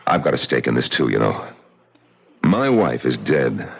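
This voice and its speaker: An actor playing a blunt, forceful middle-aged businessman and widower in a vintage radio mystery. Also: a bass voice, sing-song, normal speed, creaky, a little hiss or hum, full character voice. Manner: blunt and forceful, speaks his mind. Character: blunt, tough-minded male businessman widower. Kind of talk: vintage radio drama acting. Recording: dull old radio broadcast.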